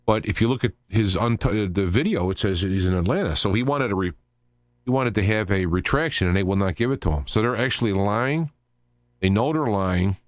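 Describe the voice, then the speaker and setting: A man hosting a live talk radio show, giving unscripted commentary in a rambling, halting way with stops, starts and digressions. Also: on the low side, no room sound, clean studio sound.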